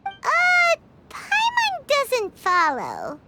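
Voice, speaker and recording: A woman voicing a tiny childlike fairy companion in a video game, cheeky, excitable and exclamatory. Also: very high-pitched, very slow, very noisy, full character voice. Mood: puzzled. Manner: exclamatory, refers to self in third person. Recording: loud music behind the voice.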